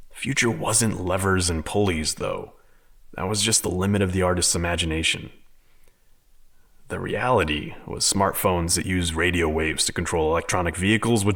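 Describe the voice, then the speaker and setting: A man's voice: sits low, fairly bright tone. An actor playing a thoughtful, wryly amused man who reasons things out in a sci-fi audio drama.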